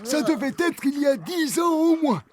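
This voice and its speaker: High-pitched rambling old-times storyteller. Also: male voice, expressive, moderate pace, a little mellow. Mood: nostalgic. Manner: rambling, nostalgic. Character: rambling, reminiscing cartoon farm storyteller. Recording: music behind the voice.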